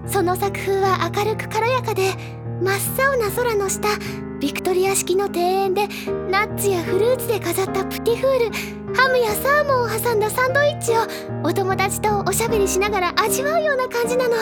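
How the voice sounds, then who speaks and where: A woman playing a bookish high-school senior girl in an audio drama, proud and easily flustered, with a theatrical, gushing manner. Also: brisk. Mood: gushing, excited. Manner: theatrical, gushing, self-important. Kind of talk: anime-style audio drama acting. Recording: studio drama with music underneath.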